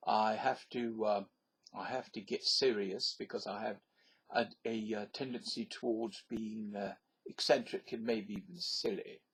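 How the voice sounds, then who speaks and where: A man's voice: on the low side, breathy edge, poor audio. A man from the southern United States talking in a casual, rambling monologue in a put-on accent, hesitant and full of fillers. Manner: hesitant, many fillers and restarts; talks in a put-on, not his own accent. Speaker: vlogger.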